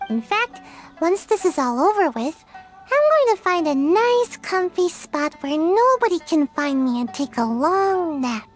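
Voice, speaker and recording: A woman voicing a sleepy, lazy young girl ninja in a video game, talking in a drowsy, soft, unhurried way. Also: extremely high pitch, very animated, soft background music, exaggerated voice. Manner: drowsy, slow and soft-spoken.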